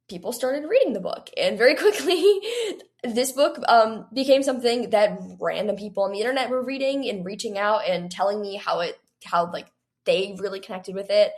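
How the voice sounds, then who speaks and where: A woman's voice: mid-range voice, normal speed. A woman in her late teens, a guest talking conversationally and earnestly as she explains things in an interview. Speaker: guest, young adult author.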